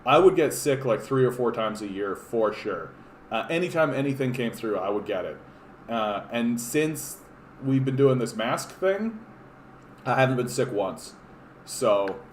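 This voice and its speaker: A man's voice: mid-range voice, animated, moderate pace, a little hiss or hum. Rambling sarcastic man in an echoey room. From Canada. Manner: rambling, frequent fillers and restarts, sarcastic. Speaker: political livestream host. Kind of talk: casual live-stream chat reading comments. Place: home room.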